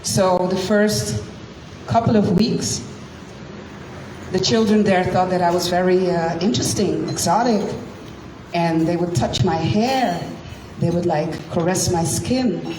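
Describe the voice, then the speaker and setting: A woman from Curacao, Dutch her first language, shares her life story with an evening audience. She takes her time, pausing often between phrases. The mic sits far from her in an echoey room with clear background noise. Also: lowish voice. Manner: slow, deliberate, with frequent pauses. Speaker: guest speaker. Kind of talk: storytelling talk to a live audience. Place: event room.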